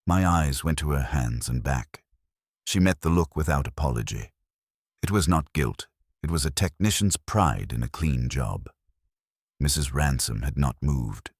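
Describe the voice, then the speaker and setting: A man reading a first-person detective story as a scripted narration, measured and deliberate in short literary phrases. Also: rumbling low, animated, slightly rough, no echo, studio quality. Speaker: audiobook narrator.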